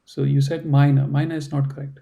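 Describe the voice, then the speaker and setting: A male teacher explaining grammar over a video call, with a gravelly, very dark voice that stays flat and even. He talks patiently and leans on "you know" and "right" as he goes. English is not his mother tongue. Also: mid-range voice, moderate pace. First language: not English or German. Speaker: German language teacher. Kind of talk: online class instruction.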